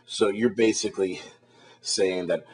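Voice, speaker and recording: A man with a fairly low, husky voice conducts a confrontational interview. He challenges his guest haltingly, restarting and repeating his questions. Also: steady tone.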